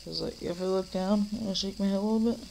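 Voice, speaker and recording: Teen guy with a cold, gravelly flat voice, rambling vlog from his recliner on a laptop cam. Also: high voice, fast talker, slight background noise, poor audio. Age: teens. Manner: rambling, lots of fillers and restarts; mock-scolds an imaginary heckler. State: coming down with a cold. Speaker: vlogger. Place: dim living room, seated in a recliner.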